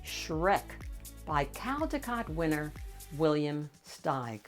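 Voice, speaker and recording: A woman's voice: deep voice, sing-song, slow pace, faint music behind. A woman hosting a children's read-aloud, narrating a picture book expressively.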